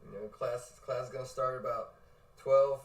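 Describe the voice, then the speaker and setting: A man's voice: mid-range voice, steady tone, normal speed. Male podcast host, rambling casual talker; roomy mic sound. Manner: rambling, fills with "like" and "you know what I mean". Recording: roomy with faint noise.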